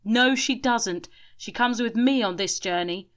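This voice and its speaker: A woman's voice: medium pitch, steady tone, slow pace, lightly acted. A woman voicing a tender, pleading male ghost in a spoken story. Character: gentle ghost of a devoted husband.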